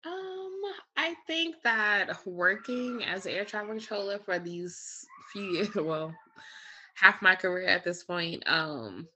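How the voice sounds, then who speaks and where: A woman's voice: medium pitch, slow. A woman speaking in a casual, conversational interview style, telling things through little acted-out quotes with lots of likes and you-knows. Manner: animated storytelling with acted-out quotes, frequent "like" and "you know". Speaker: air traffic controller, interview guest.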